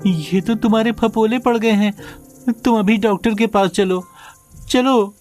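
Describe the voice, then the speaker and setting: A woman's voice: a little high, unhurried, soft background music, natural voice. A woman voicing frightened young siblings in a storybook tale. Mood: alarmed. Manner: urgent and loud.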